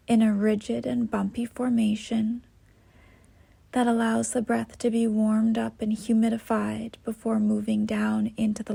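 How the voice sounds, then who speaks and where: A woman guiding a yoga nidra meditation in a soft, breathy, half-whispered voice, gentle and unhurried with long pauses. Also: on the high side. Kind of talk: guided meditation, slow soothing instruction.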